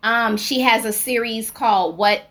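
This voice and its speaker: Friendly New woman with a quick laugh. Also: fairly high pitch, fairly bright tone. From New Orleans. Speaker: guest, midwife and founder. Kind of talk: podcast interview.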